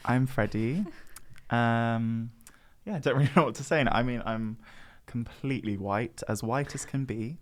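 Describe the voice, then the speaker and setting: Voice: mid-range voice, normal speed. A young adult from the Midlands, chatting casually and informally in a rambling, self-interrupting way as a podcast crew member. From English Midlands. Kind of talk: casual group chat.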